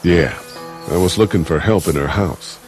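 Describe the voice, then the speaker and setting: Deep, guarded man played by an actor. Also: moderate pace, darkish tone, faint music behind, natural voice. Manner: reserved, clipped answers. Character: guarded, evasive, calm man hiding his past. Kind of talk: video game voice acting.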